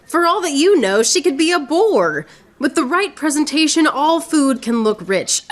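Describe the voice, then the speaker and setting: A woman playing a proud, witty, sharp-tongued swordswoman poet in theatrical radio drama. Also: high voice, very animated, moderate pace. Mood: wry, guarded. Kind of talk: radio theatre acting in rhymed verse.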